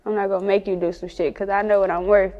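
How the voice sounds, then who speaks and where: A woman with a Chicago accent chatting casually and candidly in an interview as a rapper, slangy and quick to laugh. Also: mid-range voice, flat delivery, a little mellow, in a large hall, highs cut off. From Chicago, United States.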